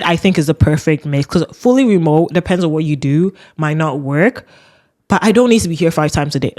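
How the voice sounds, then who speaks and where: Deep-voiced young woman ranting on her podcast, laughs a lot. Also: a little mellow. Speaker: podcast host. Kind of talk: casual solo rant.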